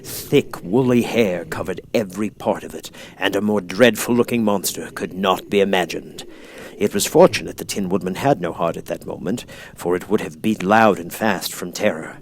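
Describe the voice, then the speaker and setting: A man's voice: low-pitched, normal speed. A man narrating a children's storybook from a script as an audiobook reader.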